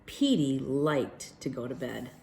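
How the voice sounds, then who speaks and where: A woman's voice: rumbling low, animated, slow, gravelly, dark tone, a little hiss or hum. A woman reading a children's story aloud as a storyteller, expressively and with acted character lines. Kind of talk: children's picture book read aloud.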